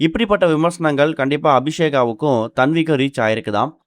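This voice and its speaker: A man's voice: fairly high pitch, animated, quick pace, no room sound. A man reading a scripted entertainment news voice-over as narrator.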